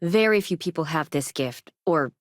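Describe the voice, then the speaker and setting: A caring but tired colony doctor in a space game. Her voice sits a little low for a woman and moves with lively, expressive inflection. She is matter-of-fact and conversational, recorded very dry and close. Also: normal speed, no room sound. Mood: measured, wry. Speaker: video game voice actor. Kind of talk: scripted game dialogue lines.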